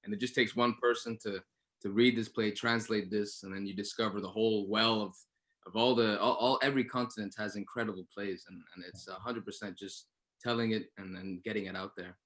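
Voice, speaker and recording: A man's voice: fairly low pitch, fairly even intonation, a little fast, a bit bright. A man chatting casually as a panel guest, with informal, loose phrasing and repeated words. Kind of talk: casual unscripted panel talk.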